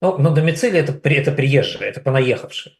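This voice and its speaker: A man from Russia speaks as a guest commentator in a mid-range voice. He explains things conversationally, with a measured delivery and a wry, dryly joking touch. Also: medium pitch. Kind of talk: conversational analysis.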